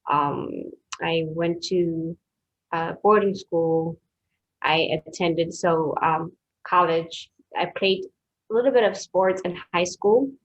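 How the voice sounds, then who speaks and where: A young woman from Ethiopia, a personal trainer, talking as a guest in a soft, hesitant, casual way. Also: fairly low pitch, takes it slowly. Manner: soft-spoken, hesitant, laughs easily. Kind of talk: casual interview chat. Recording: dull lo-fi remote audio.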